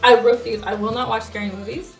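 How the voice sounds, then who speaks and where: A woman's voice: a little high, steady tone, quick pace, vocal fry, soft background music, rough recording. A woman co-hosting a casual podcast, chatting in a candid, emphatic way and swearing freely. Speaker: podcast co-host. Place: home studio garage.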